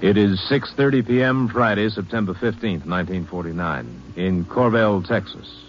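A man narrating in a low, measured voice over music. The old broadcast sounds dull. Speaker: radio drama narrator. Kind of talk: scene-setting narration.

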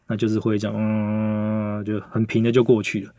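A man's voice: on the low side, completely flat delivery, normal speed, warm tone, dry recording. A man with a Taiwanese Mandarin accent, chatting casually as a podcast host and director about audio drama production.

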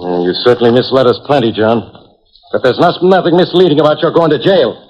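An actor playing a gruff, skeptical, exasperated police inspector in a 1940s radio detective drama, impatient and quick to interrupt. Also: male voice, mid-range voice, darkish tone, natural voice. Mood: impatient, blustering. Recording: muffled vintage 1940s radio recording.

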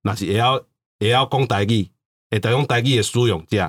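Fast-talking Taiwanese man, emphatic. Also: medium pitch. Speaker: podcast speaker on language. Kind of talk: casual discussion, explaining.

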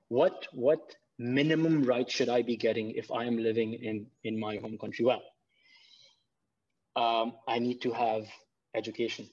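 A man's voice: mid-range voice, darkish tone. Earnest man talking in restarts, muffled. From Pakistan. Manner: earnest, repeats words while thinking. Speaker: podcast guest. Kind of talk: casual conversation.

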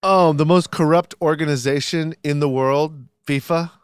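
A man's voice: a little high, steady tone, moderate pace, clear, resonant tone, dry recording, clean studio sound. Sarcastic man joking on a podcast. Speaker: podcast co-host. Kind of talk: casual chat, sarcastic asides.

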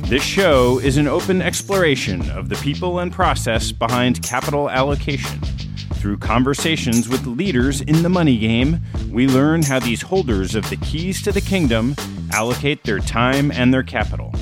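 A man hosting a podcast, reading a measured scripted introduction and then asking his guest questions. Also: medium pitch, music in the background. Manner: measured, lively intonation. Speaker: podcast host.

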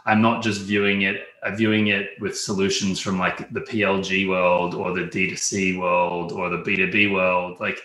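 A man's voice: low voice, little pitch movement, normal speed, hardly any pauses, sharp tone. A man with an Australian accent talking casually as an interview guest, informal, with frequent "like" and "kind of". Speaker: interview guest, marketing executive. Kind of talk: casual conversation. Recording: echoey room.

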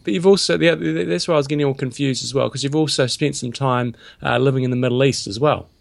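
A man co-hosting a podcast talks in a relaxed, gravelly voice. His recording is dry and close, with no room sound. He chats casually and reads a sponsor spot. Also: medium pitch, fast, no room sound. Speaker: podcast co-host. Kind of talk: casual chat and sponsor read.

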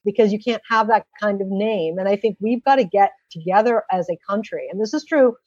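A woman's voice: mid-range voice, a little fast, a little mellow, husky, no room sound, highs cut off. An American woman giving explanatory, emphatic interview answers as a policy expert, telling anecdotes and posing rhetorical questions. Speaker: interview guest, former government policy expert.

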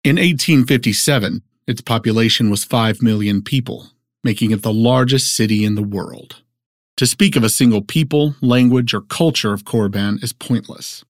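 A man playing a formal, measured narrator who sets out a fictional city's history in an audio drama. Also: medium pitch, no echo, studio quality, close to an everyday voice. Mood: measured, factual.

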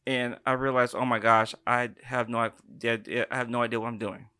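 A man's voice: medium pitch, little pitch movement, normal speed, bright, forceful tone, clean tone, no room sound, studio quality. A man co-hosting a show, a math teacher telling a casual story about school in an easygoing, teasing way.

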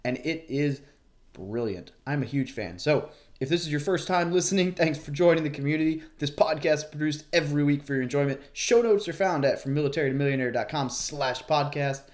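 A man's voice: a little high, a little fast. A man hosting a podcast, introducing his guest in an upbeat, enthusiastic, casual way. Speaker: podcast host.

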